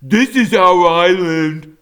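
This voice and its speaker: A man's voice: high-pitched, slow, fairly bright tone, clear, resonant tone, pitched above the performer's own voice. A man voicing a young female bear bully in a children's storybook, taunting and snarling as she talks. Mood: menacing.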